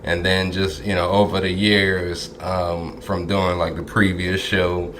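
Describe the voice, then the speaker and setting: A man hosting a podcast interview, talking easygoing with lots of filler pauses. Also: deep voice, flat delivery, fairly bright tone, slight background noise. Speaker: podcast host. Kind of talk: casual interview chat. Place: Dallas.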